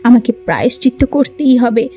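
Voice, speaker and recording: Woman doing a guilty little sister in a cartoon, high voice, halting, soft music behind. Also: expressive, close to an everyday voice. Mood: remorseful. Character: young younger sister, greedy then remorseful.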